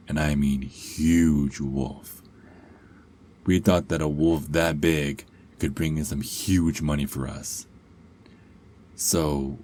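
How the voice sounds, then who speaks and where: A man's voice: a bass voice, mellow, exaggerated voice. A man playing a cocky, sarcastic young adult werewolf held captive, in an ASMR roleplay with a taunting, sardonic drawl.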